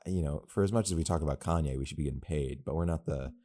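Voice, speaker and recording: A young man riffing casually on a podcast, rambling from one aside to the next. His voice sits low, with a dark, mellow tone. He jokes around as he talks. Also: quick pace. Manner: rambling, jokey, animated asides. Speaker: podcast co-host.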